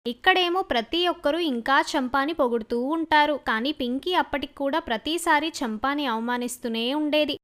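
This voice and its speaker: A woman narrates a scripted moral story in a high-pitched voice. She works as the storyteller, reading out events from a written script. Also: normal speed, few pauses, fairly bright tone.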